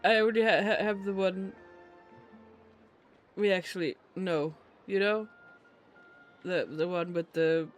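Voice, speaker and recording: Guy streamer w a high voice, rambling casual game commentary, faint music behind. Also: fairly even intonation, takes it slowly, long pauses. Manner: rambling, incredulous, bantering with viewers. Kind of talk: casual live gameplay commentary.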